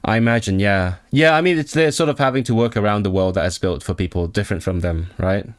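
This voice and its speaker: Young guy streaming, lowish voice, thinks out loud, lots of restarts and questions to chat. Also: quick pace. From Hong Kong. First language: Cantonese. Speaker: language-learning streamer. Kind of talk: casual live-stream chat reading viewer comments.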